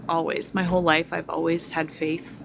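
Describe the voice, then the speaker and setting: A woman in her forties speaking slowly and reflectively to her viewers, pausing often with fillers. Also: low voice, little pitch movement, vocal fry, noisy background. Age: 40s. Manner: slow, reflective, many fillers. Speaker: spiritual vlogger. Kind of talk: personal testimony to viewers.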